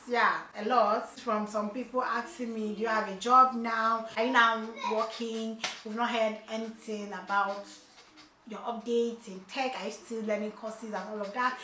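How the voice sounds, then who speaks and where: Vlogger, young woman, fairly high bright voice, chatty and repetitive, echoey room with faint noise. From not from China. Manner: chatty, repeats "okay?" and "you guys". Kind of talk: casual vlog update to viewers.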